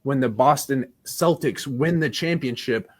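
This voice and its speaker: A man's voice: mid-range voice, a little slow. A man arguing in a live debate as a panelist, blunt and insistent, with frequent swearing.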